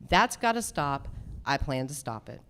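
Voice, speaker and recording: A woman's voice: low-pitched, big pitch swings, moderate pace, fairly bright tone, clean tone. A woman speaking as a judicial candidate at a public forum, making prepared, emphatic points in short punchy sentences. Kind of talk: prepared campaign forum remarks.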